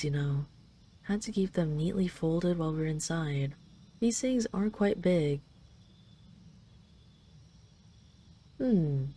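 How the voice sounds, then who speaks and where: A woman's voice: low-pitched, warm tone. A woman voicing a possessive yet tender dragon queen in an intimate roleplay audio, teasing and coaxing the listener. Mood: teasing, affectionate.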